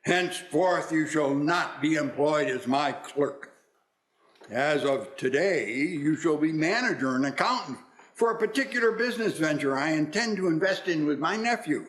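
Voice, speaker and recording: An actor playing a reformed old miser uncle in a radio play, speaking formally and haltingly. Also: male voice, a little high, animated, fairly bright tone. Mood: mock stern. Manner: formal, halting with repeated words.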